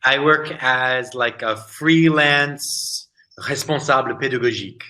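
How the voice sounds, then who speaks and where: A man's voice: mid-range voice, unhurried, sharp tone. A young man, an English tutor, chatting casually on a video call, telling stories animatedly and mimicking locals.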